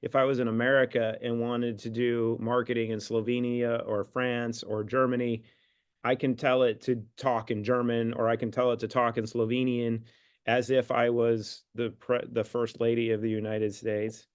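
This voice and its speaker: A man's voice: medium pitch, flat delivery, normal speed, clear, resonant tone. A man on a video call asking a long, rambling question, thinking aloud as he goes. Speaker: company executive. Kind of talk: live webinar question.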